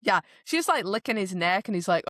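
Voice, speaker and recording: A woman's voice: mid-range voice, very animated, fairly quick, a bit bright, no room sound, clean studio sound. A woman co-hosting a podcast, casually recapping a fantasy romance novel with giggles, swearing and acted-out quotes. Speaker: podcast co-host.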